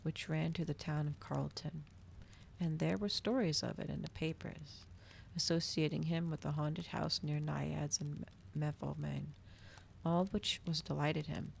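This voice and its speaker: Low, creaky woman reading softly. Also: fairly even intonation, a little slow, slight background noise. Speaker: streamer reading a novel aloud. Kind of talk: ASMR audiobook reading, soft and breathy.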